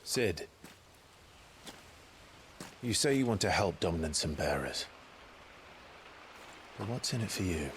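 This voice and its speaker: Vengeful young swordsman, low and terse, brooding video game drama. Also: expressive, slow, pauses often, slight background noise. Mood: guarded. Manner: terse, guarded. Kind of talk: video game cutscene acting.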